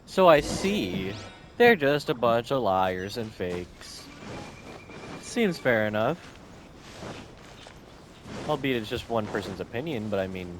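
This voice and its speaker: Low-voiced man doing casual gameplay commentary. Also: noisy background. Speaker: gameplay streamer.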